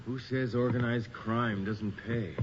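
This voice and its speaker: An actor playing an inquisitive, wry and uneasy investigator in a radio horror drama, speaking in an expressive, questioning way. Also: male voice, mid-range voice, a little slow, lightly acted. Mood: wry, indignant. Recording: dull, noisy old radio recording.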